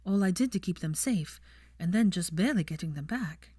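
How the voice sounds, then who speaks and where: A woman's voice: lowish voice, animated, a little fast, mellow, husky, dry recording, clean studio sound. A woman voicing an earnest, bookish young witch in an audiobook, with an airy, polite manner. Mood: mildly exasperated. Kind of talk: audiobook character voice.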